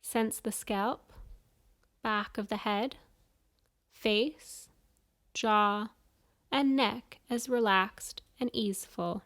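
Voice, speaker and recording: A woman guiding a yoga nidra meditation, giving soothing, measured instructions with pauses between phrases. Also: medium pitch, fairly even intonation, fairly bright tone. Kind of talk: guided meditation.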